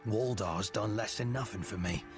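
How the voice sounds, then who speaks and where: An actor playing a sincere, slightly defensive villager in a fantasy game, plain-spoken and insistent. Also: male voice, lowish voice, moderate pace, over music, lightly acted. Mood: earnest, defensive. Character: sincere, slightly defensive male villager, newly converted believer.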